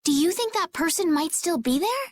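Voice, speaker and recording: Gentle, high-voiced young maiden. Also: female voice, animated, moderate pace, no echo. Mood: earnest. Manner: soft, hesitant, courteous. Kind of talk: video game cutscene acting.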